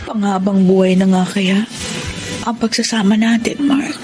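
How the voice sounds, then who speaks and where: A woman's voice: medium pitch, fairly even intonation, moderate pace, music in the background, close to an everyday voice. An actress playing a sweet, hopeful young woman in love in a Tagalog radio drama. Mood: tender.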